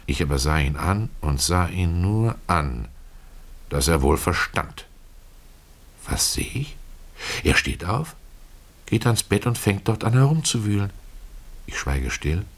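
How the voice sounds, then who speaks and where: A man's voice: low voice, very animated, slow pace, pauses often, full character voice. An actor playing a humble, pious Russian tailor who confides his regrets in a folksy, ruminative radio-play storytelling manner. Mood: suspicious, brooding. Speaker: narrator of a literary radio play. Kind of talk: dramatic first-person storytelling.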